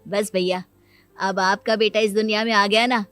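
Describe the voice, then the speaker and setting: A woman's voice: medium pitch, fairly even intonation, moderate pace, a bit bright, clean tone, dry recording, close to an everyday voice. Lady playing earnest younger brother in a family story, mid voice, faint music. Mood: hopeful. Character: affectionate younger brother, a new uncle longing for children.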